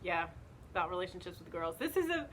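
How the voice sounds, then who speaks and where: A woman's voice: lowish voice, big pitch swings, fast. A woman casually recommending books, conversational and loosely phrased with small hedges, recorded outdoors. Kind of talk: casual book recommendation chat. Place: outdoors in the wind. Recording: loud wind noise on outdoor home video.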